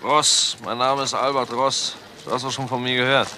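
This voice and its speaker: An actor playing a streetwise, chummy convict who placates and probes in a German crime radio play. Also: male voice, medium pitch, fairly even intonation, moderate pace, sharp tone. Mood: chummy, probing. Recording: clear outdoor background noise.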